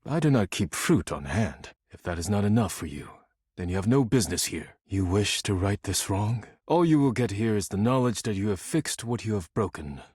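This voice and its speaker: A man voicing a stern, proud dishware spirit in a video game, speaking in a formal, clipped, deadpan and disdainful way. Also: fairly low pitch, normal speed, husky, no room sound, studio quality. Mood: stern, businesslike. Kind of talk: scripted video game character acting.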